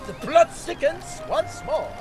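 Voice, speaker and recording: An actor voicing a pompous, theatrical masked vigilante in a video game, declaiming grandly with easily wounded pride. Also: male voice, extremely high pitch, animated, slow pace, music in the background, exaggerated voice. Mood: grandiose, insistent.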